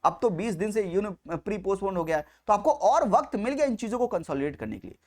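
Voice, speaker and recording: An Indian man delivers an exam-coaching lecture. His intonation swings up and down in a very animated way. He stresses and repeats key points, moving between Hindi and Indian-accented English. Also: high voice, quick pace.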